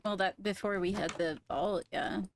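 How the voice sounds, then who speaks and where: A woman's voice: medium pitch, steady tone, moderate pace, slight background noise. A woman chatting casually during a gaming roleplay session. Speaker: Minecraft roleplay player.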